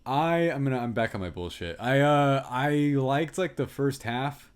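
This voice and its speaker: A man's voice: mid-range voice, moderate pace, smooth. A man co-hosting a film review podcast, chatting casually in an opinionated, exaggerated way, with some swearing. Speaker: podcast co-host.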